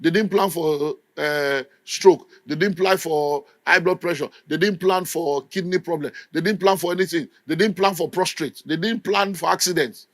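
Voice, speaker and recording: A man's voice: fairly high pitch, normal speed, few pauses, no echo. A middle-aged Nigerian man preaching a sermon with an emphatic, scolding delivery. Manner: emphatic, scolding, mimics people in quotes. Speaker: pastor.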